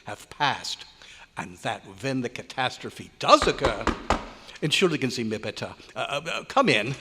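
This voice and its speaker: A man's voice: mid-range voice, expressive, measured pace, very noisy. A man playing an irritable, pompous German scientist in an old-time radio drama, declaiming with exasperation and a German accent. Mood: grandiose. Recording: echoey hotel hall live performance.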